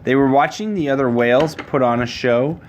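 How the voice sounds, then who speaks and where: A man reads a rhyming picture book aloud as a father would to his child. His pitch is average and his delivery measured, with some background noise on the home recording.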